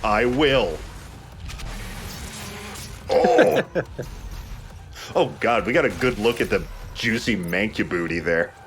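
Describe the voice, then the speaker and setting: A man's voice: lowish voice, sing-song, takes it slowly, pauses often. A man doing casual, self-mocking let's play commentary with wry jokes and some swearing. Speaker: let's player playing the game. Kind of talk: casual joking game commentary. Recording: faint background game noise.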